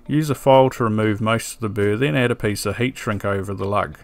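A man giving a calm, methodical scripted voice-over for an electronics build tutorial, with a dry sense of humor. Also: on the low side, brisk, dry recording. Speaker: electronics DIY video maker. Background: soft background music.

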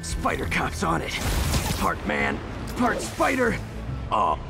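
A man's voice: on the high side, huge pitch swings, slow, creaky, music in the background, heavily acted. A man with an American accent playing a young, eager, nervy masked superhero in a video game, quippy and mock-dramatic. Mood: joking. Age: young adult. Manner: quippy, mock-dramatic, stammers when flustered.

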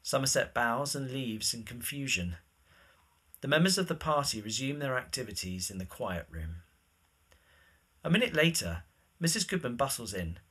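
A man's voice: mid-range voice, lively intonation, normal speed, sharp tone, husky. A man narrating scripted stage directions for a drama.

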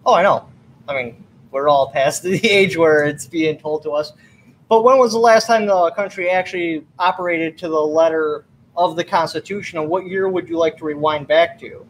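A man's voice: fairly high pitch, normal speed, a little hiss or hum, rough recording. A man in his thirties hosting a livestream debate over a webcam call, pressing his guest with pointed questions. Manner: probing, keeps asking pointed questions. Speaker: livestream host. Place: small room at home.

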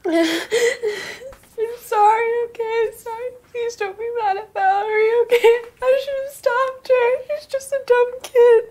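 Woman playing a sobbing, very high-voiced teen girl. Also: measured pace, darkish tone. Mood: apologetic. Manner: sobbing, rambling, self-pitying. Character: emotional, insecure teenage daughter about to graduate. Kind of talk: comedy sketch acting.

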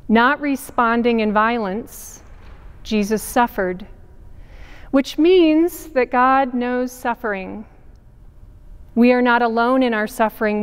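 A woman pastor in her forties gives a sermon in a big sanctuary, her voice smooth and a little high. She speaks slowly and deliberately, leaning on pauses for weight. The sound carries a long church reverb. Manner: measured, deliberate preaching with emphatic pauses. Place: large church sanctuary with pipe organ. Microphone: camera at a distance, wide sanctuary shot.

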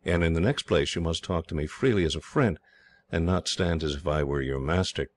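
A man's voice: low voice, a little fast, no echo. A man doing a hearty young English officer. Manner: hearty, steady, reassuring.

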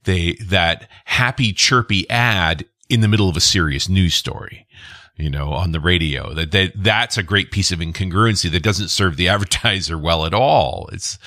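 A man with a deep yet bright voice hosts a podcast conversation. He responds reflectively to his guests. The recording is dry and close. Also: moderate pace, dry recording. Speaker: podcast host. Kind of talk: conversational interviewing.

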